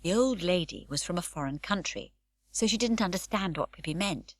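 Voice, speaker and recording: A woman narrating an unabridged children's audiobook, with expressive, varied storytelling. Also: on the low side, fairly quick, no echo, studio quality.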